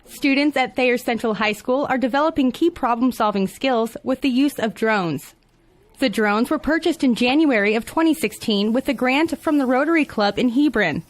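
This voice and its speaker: A woman with an American accent reads a local news report. Her voice sits on the high side, and she delivers prepared copy as a field reporter. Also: moderate pace. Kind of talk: scripted news report narration.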